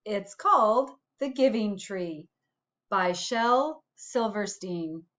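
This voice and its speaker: A woman's voice: mid-range voice, expressive, slow, fairly bright tone, lo-fi sound. A woman reading a storybook aloud to children, like a school principal addressing pupils.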